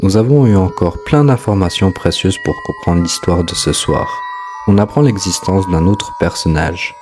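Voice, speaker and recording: Deep voiced guy reading a scripted horror story explainer, music clearly behind him. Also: moderate pace. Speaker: host, narrator.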